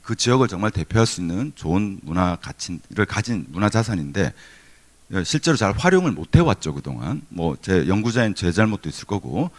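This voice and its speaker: A man's voice: low-pitched, sing-song, fairly quick. A middle-aged man from Korea's Gyeongsang region giving a keynote lecture at a seminar, conversational, with many fillers and restarts. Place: conference hall.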